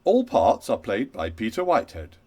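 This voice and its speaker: A man's voice: medium pitch, huge pitch swings, moderate pace, warm tone. A man giving a scripted spoken introduction to a play as its announcer.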